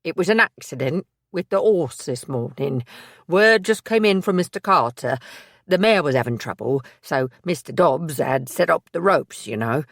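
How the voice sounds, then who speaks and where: A woman's voice: deep voice, animated, moderate pace, no echo, studio quality. A woman voicing an anxious, loyal young man, talking urgently and bluntly.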